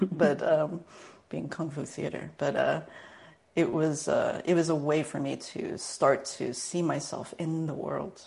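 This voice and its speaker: Low, creaky-voiced American woman. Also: a little mellow. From Northern Virginia, United States. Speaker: guest, martial arts and spiritual teacher. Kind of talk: reflective personal storytelling in conversation.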